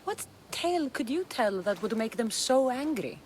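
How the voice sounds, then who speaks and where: A woman's voice: on the high side, very animated, moderate pace, darkish tone, a little hiss or hum. An actress playing a tough, dry, protective young mercenary woman in a video game, speaking in a blunt, wry way. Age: young adult. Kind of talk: video game cutscene acting.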